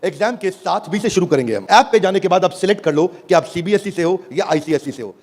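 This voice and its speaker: A man giving a high-energy stage presentation at a product launch, theatrical and calling out to the audience for replies, swinging between booming and hushed delivery. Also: expressive, a little fast. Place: stage before a live audience.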